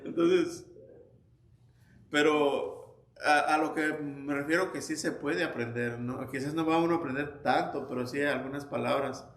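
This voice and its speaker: A man's voice: a little high, a little slow, fairly bright tone. A man teaching a church Sunday school class, telling anecdotes conversationally with frequent restarts. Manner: storytelling, conversational, with many restarts and quoted anecdotes. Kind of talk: informal Bible class teaching. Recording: echoey church room, faint ambience.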